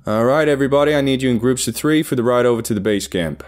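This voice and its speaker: A man voicing an impatient, foul-mouthed boss who shouts commands at his workers. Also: medium pitch, quick pace, pitched above the performer's own voice, close to an everyday voice. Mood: brisk, commanding. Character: loud, foul-mouthed, impatient male boss barking orders.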